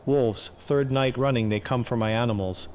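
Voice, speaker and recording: Man playing a gruff old farmer, crickets faintly behind. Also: medium pitch, moderate pace, darkish tone, slight background noise, lightly acted. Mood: gruff, urgent. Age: older. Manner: blunt, sardonic. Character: gruff old farmer beset by wolves, shrewd, grumbling. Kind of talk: video game quest dialogue. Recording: faint outdoor night ambience with crickets. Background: crickets and insects.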